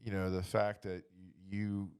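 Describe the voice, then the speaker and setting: Deep-voiced man in casual chat. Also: flat delivery, measured pace, darkish tone, clean tone. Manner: hesitant, searching for words. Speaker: podcast panelist leading the questions.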